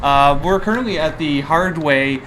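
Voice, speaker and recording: Male podcast host, slightly high voice, loose and jokey, thinks out loud as he chats. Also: normal speed, slight background noise. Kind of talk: casual podcast chat.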